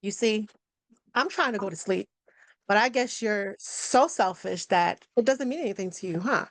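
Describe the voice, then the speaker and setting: A woman's voice: medium pitch, normal speed, dry recording, natural voice, rough recording. A woman playing an irritable, sarcastic young man's fiancée in a live script read, snappish and biting. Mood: irritated. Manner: snappish, biting, foul-mouthed. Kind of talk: live screenplay table read.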